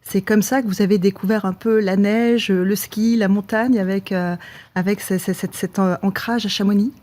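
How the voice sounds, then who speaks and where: A woman's voice: medium pitch, steady tone, normal speed, a little mellow. A woman hosting a podcast interview, asking long questions with frequent hesitations and restarts. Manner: hesitant, stumbling over words while asking. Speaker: podcast host.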